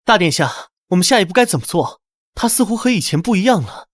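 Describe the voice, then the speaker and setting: A man voicing an obedient, scheming henchman in an animated drama, deferential and conspiratorial. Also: high-pitched, quick pace, no echo, clean studio sound. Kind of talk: animated short-drama dubbing.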